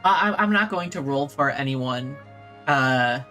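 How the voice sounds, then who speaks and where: A man streaming a video game, informally reading dialogue aloud and thinking out loud as he plays. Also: mid-range voice, animated, measured pace, faint music behind.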